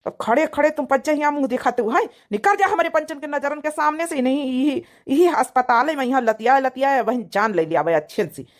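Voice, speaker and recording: Performer playing furious cartoon village man, high-pitched, Awadhi accent, accusing, scolding, melodramatic. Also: animated, brisk, hardly any pauses. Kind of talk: dramatic comedy cartoon dubbing.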